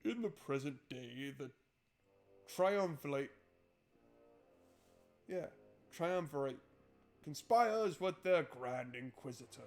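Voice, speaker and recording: A man's voice: a little high, lively intonation, takes it slowly, pauses often, faint music behind. A man doing a playful, wry let's play, switching between reading game text aloud and joking commentary. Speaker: gaming streamer.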